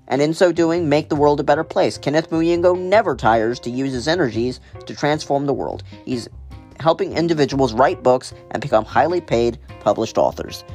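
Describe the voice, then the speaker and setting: A man's voice: mid-range voice, a little mellow. Earnest male podcast host, faint music. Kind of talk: scripted intro, then interview questions.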